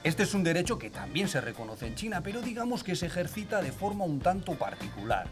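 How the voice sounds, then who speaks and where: A man with a fairly high voice and a Spain Spanish accent presents an explainer video. He speaks conversationally and articulately, laying things out like a storyteller, with music playing behind him. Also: big pitch swings, fast talker. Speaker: video host.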